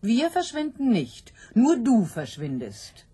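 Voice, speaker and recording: An anxious, slow-speaking sow. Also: female voice, a little high, huge pitch swings, dark tone, slightly rough, heavily acted. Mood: anxious, doubting. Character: anxious, doubting sow pondering her fate. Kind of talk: literary radio drama acting.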